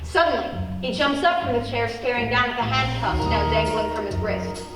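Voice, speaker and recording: Echoey woman narrator over loud music. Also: on the high side, normal speed, far from the mic. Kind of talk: scripted radio play narration.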